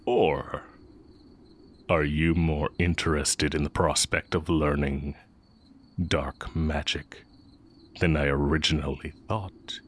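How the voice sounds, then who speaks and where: A man playing an exiled heretic magic teacher, sly, brooding and tender, in an intimate, deliberate audio roleplay performance. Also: a bass voice, flat delivery, full character voice. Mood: coaxing, teasing. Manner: deliberate, teasing, with long pauses.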